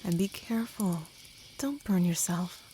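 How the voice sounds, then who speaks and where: A woman playing a kind, protective traveller in a gentle ASMR comfort roleplay, speaking softly with some whispering and reassuring slowly. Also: on the low side, animated, slight background noise. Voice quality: soft, partly whispered. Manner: gentle, slow, soothing, reassuring.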